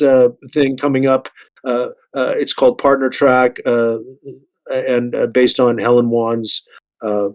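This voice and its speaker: Hesitant man on a discussion panel. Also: medium pitch. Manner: very hesitant, halting, stammering restarts. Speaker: panelist working in entertainment.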